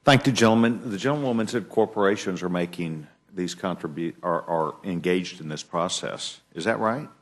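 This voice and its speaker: A man chairing a congressional committee hearing, formal with halting restarts. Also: deep voice. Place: hearing room.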